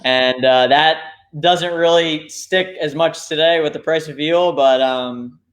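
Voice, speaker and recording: A man's voice: a little high, a little slow, fairly bright tone. A man from Florida with an American accent, chatting informally as an interview guest and thinking aloud. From Florida, United States. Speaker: fishing charter captain, interview guest. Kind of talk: casual chat.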